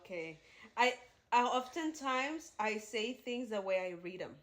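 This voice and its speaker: A woman's voice: a little high, lively intonation, measured pace, fairly bright tone. A woman co-hosting a podcast in casual chat, easygoing and good-humored, poking fun at herself. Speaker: podcast co-host.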